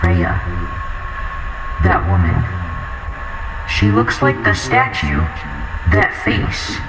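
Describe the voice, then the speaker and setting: A man's voice: low-pitched, animated, extremely slow, creaky, noisy background, full character voice. A man playing a haunted, brooding outcast who recounts a terrifying night in a first-person horror audio drama. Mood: dread, haunted. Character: haunted outcast male friend recounting a terrifying house incident. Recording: roomy distant voice under loud music.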